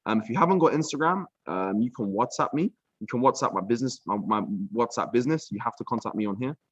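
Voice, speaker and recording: A young man with a British accent talks through trading charts on a live stream in a loose, chatty way, tossing in "bro" and "um" as he goes. His voice sits at an everyday middle pitch. The sound is dry and a little muffled, like a home setup in a room. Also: fast talker, slightly rough, no room sound. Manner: relaxed and chatty, peppered with "um", "bro" and "like". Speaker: trading mentor. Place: villa room.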